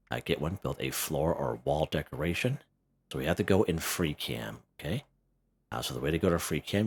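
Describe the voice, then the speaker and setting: A man hosting a casual game walkthrough, chatty and a little hoarse, who keeps checking in with "okay?" and "right?". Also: extremely low pitch, a bit bright, slight background noise. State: slightly hoarse. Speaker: gaming video host.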